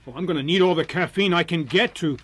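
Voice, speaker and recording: An actor playing an easygoing, slightly hesitant younger cousin in a radio drama. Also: male voice, on the high side, fast talker, a bit bright, husky. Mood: easygoing, explaining.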